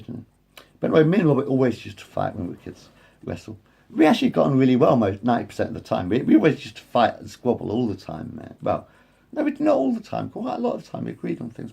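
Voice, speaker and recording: Gravelly raspy guy almost fifty, talking into his phone, keeps circling back and correcting himself. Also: medium pitch, huge pitch swings, fast, mellow. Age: 40s. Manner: repetitive, meandering, self-correcting. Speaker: vlogger. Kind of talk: rambling casual monologue to camera. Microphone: phone front camera.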